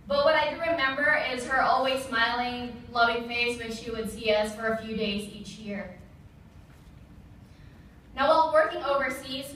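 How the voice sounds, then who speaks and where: Young woman officer, Tagalog speaker, bright voice, reading a speech in a reverberant hall with faint room noise. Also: a little high, far from the mic. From Philippines. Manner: measured, reads from a prepared text. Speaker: Army officer giving an observance speech. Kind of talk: prepared public speech.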